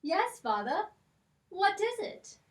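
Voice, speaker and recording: A brave, earnest young girl, as a woman acts her out for a puppet show, speaking very high and slowly. The sound is roomy and set back from the mic. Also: sing-song, exaggerated voice. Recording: echoey room, distant from the mic.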